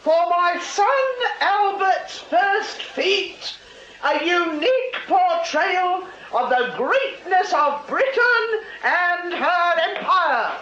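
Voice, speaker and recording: Stage presenter mother: declaiming very slowly, piercingly high, muffled and echoey over loud radio-play ambience. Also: lively intonation, very noisy, heavily acted. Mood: proud, grand. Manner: slow, theatrical proclaiming. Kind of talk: radio drama acting.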